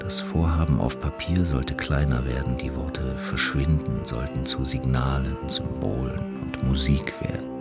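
Male narrator, extremely low voice, formal literary read with music underneath. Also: fairly even intonation. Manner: measured, formal reading. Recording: music playing behind the voice.

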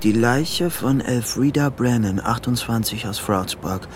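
A man's voice: medium pitch, measured pace, slight background noise, close to an everyday voice. An actor playing a troubled, delusional man on the run, murmuring his thoughts to himself in a radio drama, often half-whispering. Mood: shaken, despairing. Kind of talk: radio drama inner monologue.

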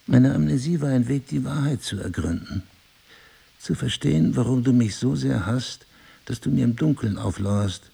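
An actor playing a middle-aged husband, wounded yet devoted, who argues in articulate, deliberate phrases in a stage-play dialogue. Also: male voice, mid-range voice, gravelly, warm tone, breathy edge, heavily acted. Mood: quiet, confessing. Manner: articulate, deliberate, lecturing, ironic. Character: reproachful yet devoted husband, wounded, philosophizing crime writer.

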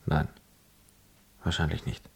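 A man's voice: takes it slowly, no room sound, natural voice. An actor playing a weary wandering man who narrates reflectively and speaks with hesitation in a literary radio play. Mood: hesitant.

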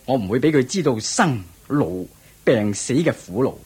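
A proud, doting king of ancient times acted by a man for a Cantonese radio drama. His voice is very husky and moves widely in pitch. He speaks with regal, theatrical weight and a Hong Kong accent. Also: animated, normal speed, a little mellow. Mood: proud, commanding.

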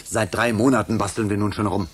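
An actor playing a skeptical, grumbling android builder in a science fiction radio play. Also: male voice, on the low side, steady tone, fairly quick, husky.

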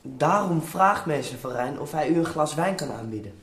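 A man's voice: fairly high pitch, lively intonation, moderate pace, fairly bright tone. A man acting an obedient, polite painter's apprentice in a radio drama, deferential in his speech. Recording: roomy, somewhat distant radio play sound.

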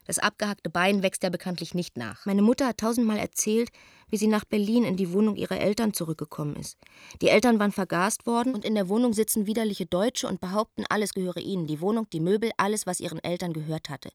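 A woman's voice: mid-range voice, fast, darkish tone, dry recording, close to an everyday voice. Eva, a bitter, reflective actress's woman letter-writer, close and dry. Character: Eva, young woman writing an anguished letter to a friend. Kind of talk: radio drama letter reading.

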